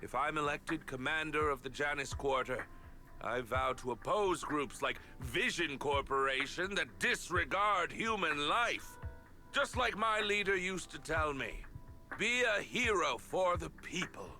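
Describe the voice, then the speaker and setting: A man's voice: a little high, animated, measured pace, pushed and bright, exaggerated voice. An actor playing a cynical, scheming male politician in a video game, able to sound nobly declamatory or curt and irritable. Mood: earnest, declamatory. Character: cynical male politician rehearsing a noble speech, scheming behind it. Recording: dramatic music under the voice.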